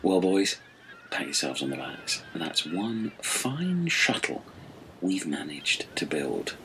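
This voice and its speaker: Deep, slow-talking castaway, played by a man. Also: wildly swooping pitch, bright, forceful tone, faint music behind, full character voice, rough recording. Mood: proud. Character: proud, stern castaway shuttle builder. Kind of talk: comedy audio drama acting.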